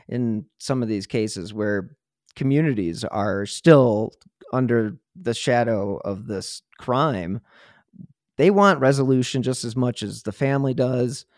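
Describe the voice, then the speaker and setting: A man's voice: mid-range voice, darkish tone, no room sound, clean studio sound. A man hosting a true crime podcast, talking casually in an earnest, opinionated and colloquial way. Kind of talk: casual conversational interview talk.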